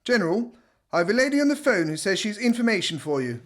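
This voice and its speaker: An actor playing a brisk, deferential military aide who answers telephones in a radio play. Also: male voice, high-pitched, very animated, a bit bright. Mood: brisk, dutiful. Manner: brisk, dutiful.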